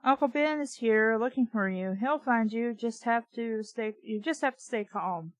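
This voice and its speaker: A woman's voice: a little high, normal speed, no room sound, lightly acted. A woman voicing an anxious child in a storybook, talking in a worried, earnest way. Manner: worried, self-coaching.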